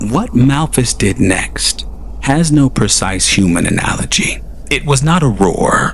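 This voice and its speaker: Low-voiced man narrating an audio drama. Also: slight background noise. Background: faint music.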